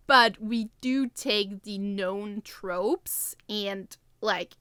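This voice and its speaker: A woman's voice: mid-range voice, drawn-out words, bright, forceful tone, clear, resonant tone, dry recording, clean studio sound. A woman co-hosting a podcast in casual, informal chat. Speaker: podcast co-host and co-writer.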